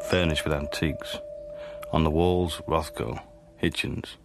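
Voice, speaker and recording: A man's voice: a bass voice, level tone, unhurried, over loud music. A man narrating a spy radio drama in the first person, in a dry, understated way.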